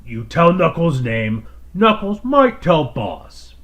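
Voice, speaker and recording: Guy doing big dumb alien enforcer, raspy, brags in third person, broken english, sci-fi story. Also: on the high side, very animated, slow, a bit bright, voice pushed up, heavily acted. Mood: dismissive. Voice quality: rough, raspy. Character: huge dim boastful male alien enforcer with spiked knuckles.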